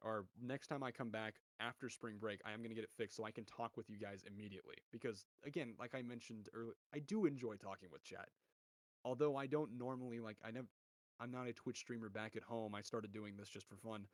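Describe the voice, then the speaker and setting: A young man with a deep voice streaming esports commentary from home, chatting with viewers and calling plays. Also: steady tone, fairly quick, no room sound. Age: young adult. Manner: rambling, chatty, restarts often, bursts louder on goals. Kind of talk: casual solo game commentary and chat reading. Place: home room.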